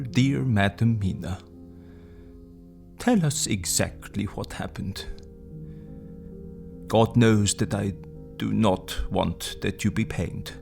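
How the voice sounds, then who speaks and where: An actor playing Van Helsing, a grave, tender older foreign professor, with solemn, halting phrasing in an audio drama. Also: male voice, on the low side, vocal fry.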